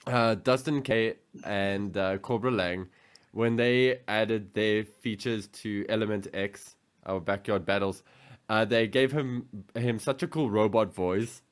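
A man's voice: on the low side, a little slow, smooth. A man co-hosting a casual chat about toys in an enthusiastic manner. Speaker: podcast co-host and toy collector.

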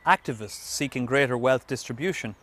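A man's voice: mid-range voice, fairly quick, fairly bright tone. A man delivering scripted narration for a business news report. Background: background music.

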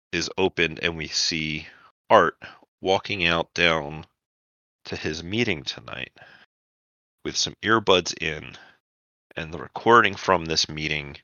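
Very deep-voiced male game master. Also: bright, forceful tone, dry recording. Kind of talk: narrating a tabletop game.

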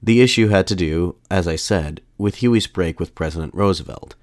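A man hosting a podcast, narrating history in a measured, formal way, his voice slightly off after a mild illness. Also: sits low, no echo, clean studio sound. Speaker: podcast host.

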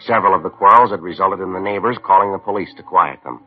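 An actor playing a stoic, courteous police detective sergeant in a 1950s radio crime drama, deadpan and clipped. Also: male voice, low-pitched, fast. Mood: flat, factual. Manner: deadpan, clipped, matter-of-fact. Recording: dull lo-fi 1950s radio recording.

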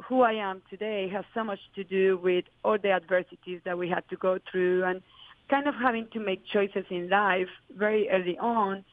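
A woman's voice: mid-range voice, fairly even intonation, normal speed, no room sound. Woman winemaker, earnest guest, dry thin phone-like audio. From Colombia. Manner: earnest, flowing explanations with many fillers. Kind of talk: interview answers.

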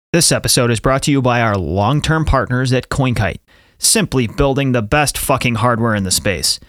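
A man's voice: mid-range voice, a bit bright, no echo, clean studio sound. A man co-hosting a podcast, reading sponsor ads with profanity and chatting casually with guests. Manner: brash, profane, fast ad-read delivery. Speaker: podcast co-host. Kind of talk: casual podcast chat with ad reads.

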